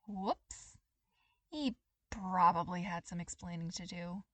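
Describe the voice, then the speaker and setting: A woman reading a story aloud as scripted, expressive dramatic narration. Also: on the low side, takes it slowly, no room sound. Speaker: podfic reader.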